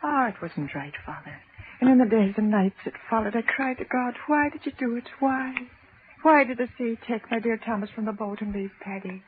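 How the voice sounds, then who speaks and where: A woman's voice: on the high side, lively intonation, moderate pace, natural voice. An actress playing a grieving old widow who tells her sorrow to a priest in an old radio drama. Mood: sorrowful. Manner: sorrowful, confiding. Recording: tinny old radio broadcast with faint hiss.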